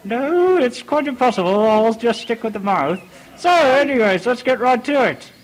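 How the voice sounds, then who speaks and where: A woman's voice: a little high, animated, normal speed, poor audio. A woman voicing a flustered, polite time-traveling male doctor pony in a fan radio play, with formal, hesitant speech. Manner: formal, hesitant, eccentric turns of phrase. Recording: music behind the voice.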